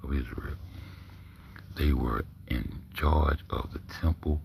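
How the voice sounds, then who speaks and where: A man hosting a livestream with a big deep voice, talking low and dropping to a near-whisper as he reads text aloud, then riffing in loose, profane commentary. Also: takes it slowly, gravelly, a little mellow, a little hiss or hum. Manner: talks low, often drops to a near-whisper. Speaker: livestream host.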